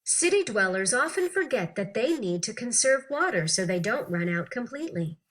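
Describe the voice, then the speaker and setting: A woman reads aloud to young learners with an animated, teacherly delivery. Her voice is fairly high and bright, with lively swings in pitch and clearly shaped phrases. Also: normal speed. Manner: animated, clearly phrased, acting out questions and answers. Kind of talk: expressive read-aloud of an interview text for children.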